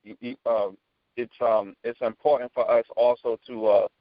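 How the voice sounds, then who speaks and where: A man in a casual group discussion, halting with many ums, calling others brother. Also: medium pitch, level tone, no echo. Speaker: podcast panelist. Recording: dull dry lo-fi audio.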